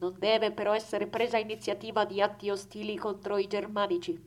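A woman's voice: medium pitch, little pitch movement, a little fast, pitched below the performer's own voice, natural voice. A woman playing an officious military command reading a formal, bureaucratic radio dispatch on stage.

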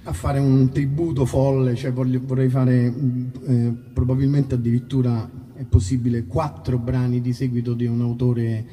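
A man, a singer-songwriter, informally introducing songs at a live concert in a rambling, halting way that often breaks off mid-thought. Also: medium pitch, monotone, warm tone, distant mic. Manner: rambling, halting, self-interrupting. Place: outdoor festival concert in a nature park. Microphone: stage microphone through amplification.

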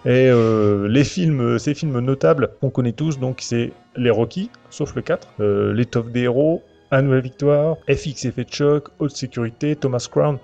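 Male podcast host, gravelly and mellow, hesitant film chat with music behind, dull lo-fi sound. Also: mid-range voice, normal speed, hardly any pauses. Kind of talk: semi-prepared film discussion. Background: clear background music.

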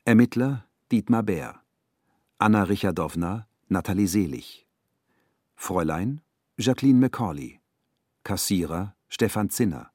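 Casting: male credits announcer, lowish voice, slow measured reading, dry recording. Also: expressive, darkish tone, no room sound.

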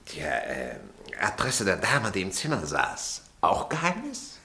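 An actor playing an older, gruff and bewildered army major in a German radio drama, speaking stiffly in clipped, hesitant phrases. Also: male voice, low-pitched, huge pitch swings, a little slow, sharp tone, full character voice. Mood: hesitant curiosity. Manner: clipped telegram-like sentences, hesitant. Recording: roomy echo with clear background noise.

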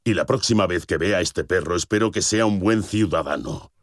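A man playing a stern, imposing social worker who speaks in a measured, formal way. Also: low voice, natural voice.

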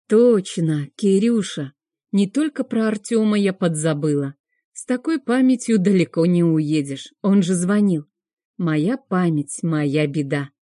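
A woman's voice: lowish voice, dark tone, dry recording, studio quality. A woman reading a scripted first-person story as an audiobook narrator.

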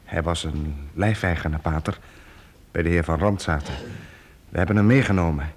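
Humble young wanderer, earnest, lowish voice. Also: male voice, normal speed. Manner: modest, earnest.

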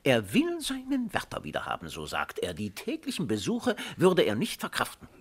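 Anxious old minister for radio drama, super animated pitch, creaky, fussy n hesitant, very polite. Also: male voice, moderate pace, heavily acted. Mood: anxious, fretful. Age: older. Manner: hesitant, deferential, mannered. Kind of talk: German radio drama acting.

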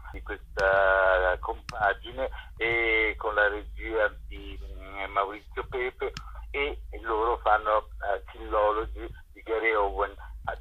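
A man with a lowish voice is being interviewed as a festival curator over a muffled, lo-fi phone line. He talks haltingly and searches for his words as he answers. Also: husky. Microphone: telephone. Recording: dull lo-fi telephone line.

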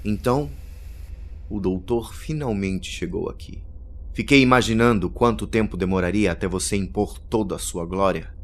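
A man's voice: fairly low pitch, moderate pace, natural voice. An actor playing an arrogant, mocking male villain and would-be conqueror in an audio drama, with a theatrical, taunting delivery. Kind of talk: scripted audio drama acting.

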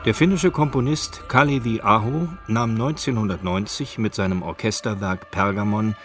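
A man narrating a scripted radio documentary in a measured, factual way. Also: fairly low pitch, fairly bright tone, faint music behind. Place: dry studio.

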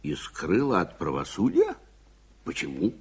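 An actor playing an impatient, irascible gentleman in a radio drama, gruff and husky, with sharp questioning and exasperated outbursts. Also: low voice, wildly swooping pitch, a little slow, full character voice. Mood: skeptical. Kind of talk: 1980s Soviet radio drama acting.

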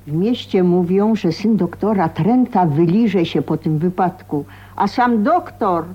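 An actress playing an overbearing, fussy older aunt who nags and reproaches, in a radio drama style. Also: female voice, on the high side, a little mellow. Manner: nagging, fussing, reproachful. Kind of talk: Polish radio drama acting. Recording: muffled late-1980s radio drama recording.

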